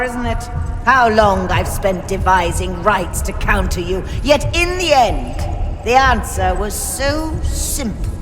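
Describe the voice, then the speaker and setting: A woman's voice: medium pitch, close to an everyday voice. A woman voicing a cruel, imperious sorceress in a video game, taunting with grandiose, menacing deliberation. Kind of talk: theatrical video game voice acting. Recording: long reverb, music behind.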